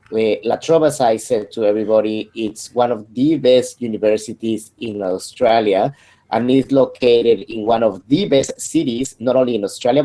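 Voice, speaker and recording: Male webinar host, mellow timbre, hesitant delivery. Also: medium pitch. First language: Spanish. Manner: hesitant, many fillers and restarts. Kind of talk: hosting an online info session.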